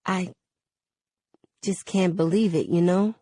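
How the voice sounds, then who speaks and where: A woman's voice: fairly low pitch, fairly even intonation, slow, warm tone, no room sound. A woman voicing a guarded, grieving teenage girl who speaks in a subdued mutter in an audiobook. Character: guarded, grieving teenage girl, quietly holding back tears.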